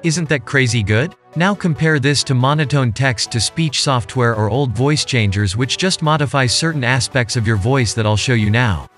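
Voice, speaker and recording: A man's voice: medium pitch, moderate pace, hardly any pauses, a bit bright, faint music behind. A man from Germany presenting a video as scripted narration.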